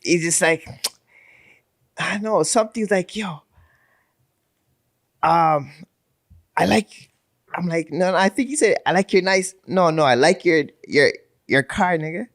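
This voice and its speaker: A man chatting casually and telling an anecdote in a halting, repetitive, slangy way. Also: high voice. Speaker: podcast panelist.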